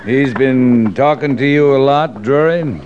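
An actor playing a stern, guarded cavalry captain in a 1950s radio western, curt and commanding. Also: male voice, mid-range voice, unhurried, a little mellow, close to an everyday voice. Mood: guarded, terse. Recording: dull, faintly noisy old radio recording.

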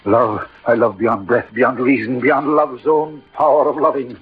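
An actor playing an eloquent, lovelorn poet-soldier with ardent, lyrical delivery in old radio drama style. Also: male voice, mid-range voice, steady tone, measured pace, close to an everyday voice. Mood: rapturous, tender. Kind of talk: 1940s-50s radio drama acting. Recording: dull old radio recording.

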